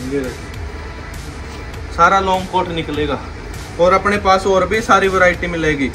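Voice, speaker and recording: A young man with a slightly raised voice rattles off a pushy, repetitive sales patter. He is filmed on a handheld phone inside a large clothing warehouse. Music plays clearly behind him. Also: high-pitched, a little slow. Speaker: wholesale clothing seller. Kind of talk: sales pitch video.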